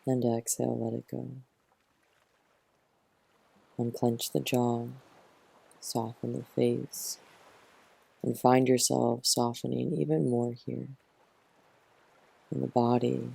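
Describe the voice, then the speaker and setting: A man who teaches yoga nidra, gently guiding a relaxation meditation in a soothing, unhurried manner, close to the mic. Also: mid-range voice, flat delivery, vocal fry, husky, rough recording.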